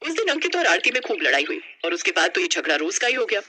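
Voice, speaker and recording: A woman narrates a scripted story as a storyteller. Her voice is very bright and forceful, with a lively, expressive melody. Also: medium pitch, quick pace.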